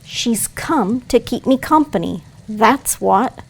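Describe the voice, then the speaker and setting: Audio play: woman as commanding, threatening robber girl, secretly soft-hearted, slow measured delivery. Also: fairly high pitch, lively intonation, gravelly, husky, heavily acted. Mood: brusque. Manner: brusque, commanding.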